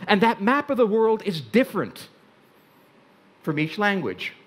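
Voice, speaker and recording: An older Hungarian-born man giving a wry, deliberate talk on a stage in a large tent before an audience. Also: sits high, sing-song. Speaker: speaker.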